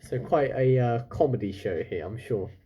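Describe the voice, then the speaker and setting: A man's voice: mid-range voice, lively intonation, moderate pace, mellow, breathy edge. A man reading a children's book aloud expressively, stopping now and then for casual, chatty asides to his listeners. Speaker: storyteller, reader.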